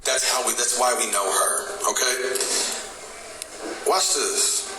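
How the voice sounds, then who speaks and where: A man's voice: medium pitch, fairly even intonation, slow, roomy sound, noisy background. A man hosting a casual reaction show, talking in a slangy, insistent way and repeating himself. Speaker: podcast host reacting to clips.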